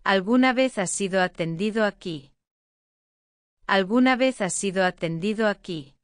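A woman's voice: medium pitch, a little slow, no room sound. A woman reading short phrases aloud twice each for a language lesson, with careful enunciation into a close mic. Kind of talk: slow repeated phrase reading.

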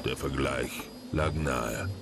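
A man's voice: extremely low pitch, slow pace, creaky, over music. A man reading scripted narration for a science-fiction audio drama.

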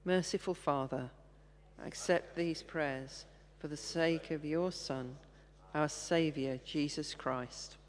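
A woman leading intercession prayers in a church service, reading slowly and steadily at a stand microphone. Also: deep voice, steady tone, slight background noise. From England. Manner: slow, measured reading. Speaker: intercessions leader. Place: large church, indoors. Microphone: stand mic, far camera. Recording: long church reverb.

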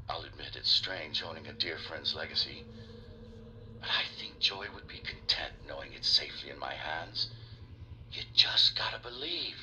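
A smug, bright-voiced studio owner. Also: male voice, low-pitched, a little hiss or hum, exaggerated voice, rough recording. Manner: smug, measured. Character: smug male studio owner, self-satisfied.

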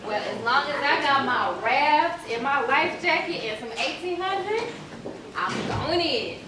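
A woman's voice: high-pitched, expressive, unhurried. An actress playing a brash, boastful young party girl in a stage play, talking in a slangy, dismissive, sassy way. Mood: boastful, playful. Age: young adult. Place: theater stage, filmed from far camera. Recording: echoey, noisy stage recording from far off.

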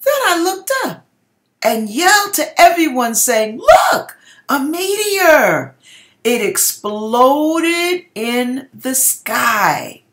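The sound is roomy, with the echo of a home kitchen. A middle-aged woman speaks slowly and theatrically, like a storyteller. Her fairly high voice leans hard on key words. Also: sing-song, fairly bright tone. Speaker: online video host. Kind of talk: dramatic reading of a dream, with commentary. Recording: echoey kitchen room sound.

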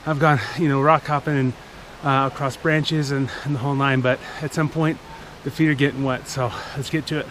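A man's voice: medium pitch, flat delivery, a little fast, hardly any pauses. A man in his thirties hosting a hiking vlog outdoors, talking casually and with enthusiasm to a camera held at arm's length. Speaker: vlog host. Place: outdoors on a trail and in a canyon. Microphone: action camera held at arm's length.

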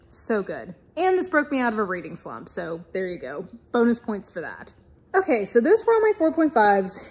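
A young adult woman, talking casually and emphatically to camera as an enthusiastic, informal book reviewer. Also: on the high side. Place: small-to-medium living room indoors with bookshelves.

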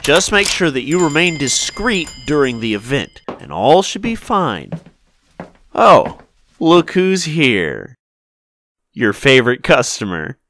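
A man's voice: a little high, sing-song, slow pace, fairly bright tone, no echo, heavily acted. A man playing a cautious, placating flower shop manager in a visual novel, obsequious and emphatic. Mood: instructing.

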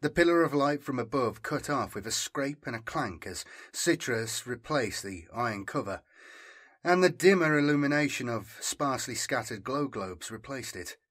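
A man's voice: medium pitch, lively intonation, moderate pace, fairly bright tone, husky. A man reading scripted dramatic fiction as an audiobook narrator.

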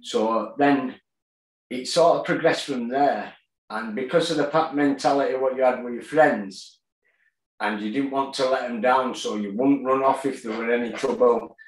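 A man, telling his story plainly in a video-call interview, with fillers and pauses. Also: mid-range voice. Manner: plain-spoken, halting with fillers. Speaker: guest, boxer and gym owner. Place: kitchen at home, indoors. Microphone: tablet on a video call. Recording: echoey room.

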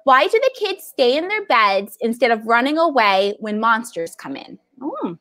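A woman's voice: a little high, very animated, moderate pace, bright, forceful tone. A woman in her thirties hosting a library event on a video call, chatting casually with a young audience. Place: indoors at a video call setup.

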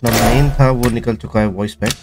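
A man's voice: medium pitch, fairly even intonation, fairly quick. A man hosting a gaming video in casual, slangy, exclaiming reaction commentary. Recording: loud music behind the voice. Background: loud music.

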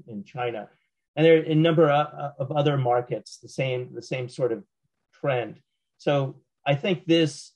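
A man in his sixties, slightly hoarse, answering as a panelist from a home office over a video call, measured and often restarting his words. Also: medium pitch, darkish tone, rough recording. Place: small home office at night. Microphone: video call, laptop camera.

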